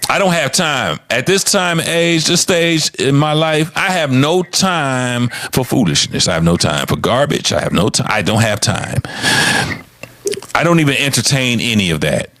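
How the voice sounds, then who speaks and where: A man's voice: mid-range voice, big pitch swings, normal speed, a bit bright, dry recording. A man in his fifties, talking in a blunt, profane, frank way as a co-host of a casual podcast chat. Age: 50s.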